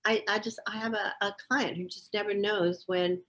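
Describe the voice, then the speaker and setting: A woman's voice: mid-range voice, fairly even intonation, moderate pace, fairly bright tone, muffled recording. Talkative self-assured older woman. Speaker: astrologer guest. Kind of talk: casual interview conversation.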